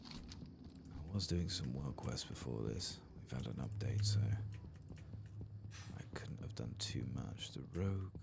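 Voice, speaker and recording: A man giving soft-spoken, often whispered ASMR gaming commentary, muttering to himself in a hushed, unhurried way. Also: very deep voice, gravelly. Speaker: streamer and host. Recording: loud steady background noise.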